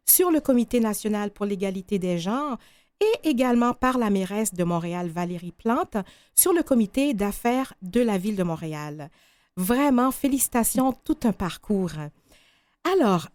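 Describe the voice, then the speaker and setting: A woman's voice: medium pitch, darkish tone, dry recording. A woman hosting a radio interview show, presenting and questioning her guest.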